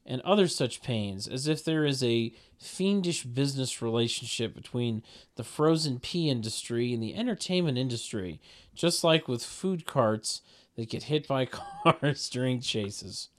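A man with a mid-pitched voice reads stage directions for a table read. His manner is wry, slipping into mocking asides as he narrates. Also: animated, unhurried.

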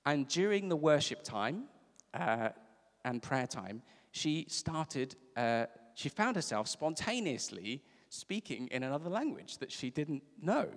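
A man from Africa, preaching a sermon in a conversational storytelling way with emphatic repeats. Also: on the high side. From South Africa. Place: church service. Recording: reverberant church hall.

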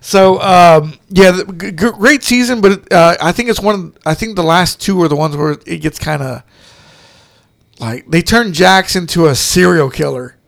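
Gravelly, higher-voiced man chatting haltingly. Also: fairly bright tone. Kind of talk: casual chat.

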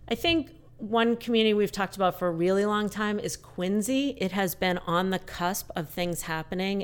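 Smooth, low-pitched businesswoman. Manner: measured, explanatory, upbeat. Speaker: real estate PR executive, guest. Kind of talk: podcast interview.

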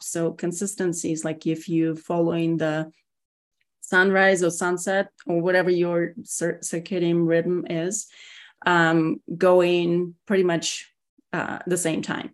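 A woman in her forties from Russia with a Russian accent, speaking casually as an interview guest, relaxed and hesitant with many pauses. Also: lowish voice. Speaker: guest, security executive.

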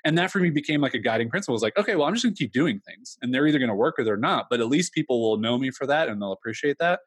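A man's voice: mid-range voice, expressive, very fast, slightly rough, no room sound. A man in his thirties, an entrepreneur talking candidly in an interview, rambling with many fillers. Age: 30s. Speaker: entrepreneur guest. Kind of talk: casual interview talk.

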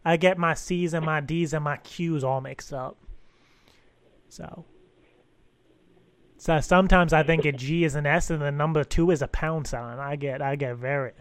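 A man's voice: on the high side, steady tone, clear, resonant tone, no echo, close to an everyday voice. A man playing a bumbling, barely literate father on a prank call, earnest, stuttering and repeating his words. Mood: bumbling, apologetic. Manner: halting, stuttering repetition of words. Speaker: prank caller. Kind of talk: improvised prank phone call.

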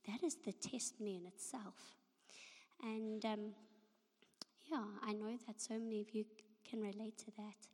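A woman with a hoarse, half-lost voice from flu, giving a halting personal faith testimony as guest speaker at a church service. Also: mid-range voice, steady tone. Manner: soft, halting, breathy near-whisper with many ums. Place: church hall. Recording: long reverb of a large hall.

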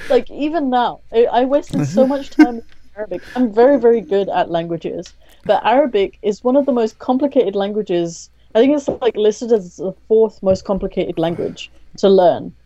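A woman's voice: mid-range voice, lively intonation, moderate pace, warm tone. A woman from a Bengali family background, talking in a frank, reflective way with many fillers in a casual conversation. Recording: dull lo-fi sound.

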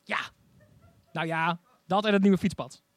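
A man's voice: high-pitched, steady tone, measured pace, no room sound. A man playing a cheerful, proud and slightly bumbling alien dignitary in a comic sci-fi radio play, polite and a little hesitant.

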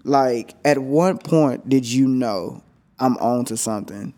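A man's voice: medium pitch, slow pace, mellow. A man co-hosting a casual talk show, telling playful stories and acting out what he said. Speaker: co-host. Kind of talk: casual chat.

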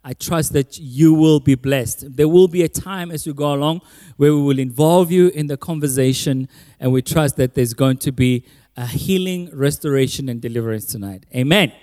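A male pastor hosting a panel on a church stage, upbeat and teasing, loudly calling people up by name. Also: mid-range voice, normal speed. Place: large church hall. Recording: long hall reverb.

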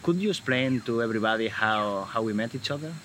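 A man from Galicia in Spain with a Spanish accent, talking in a casual conversation in halting English and often restarting his sentences. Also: lowish voice, lively intonation, fairly bright tone, a little hiss or hum, low-quality recording. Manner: halting, searching for words, frequent restarts.

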